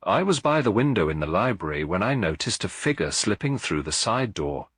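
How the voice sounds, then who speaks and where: A man voicing a nervous, secretive woman in a story, confessing in a hushed, tremulous way. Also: on the low side, big pitch swings, brisk, breathy edge, dry recording, voice pushed up, heavily acted. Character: nervous, secretive female witness with notebook.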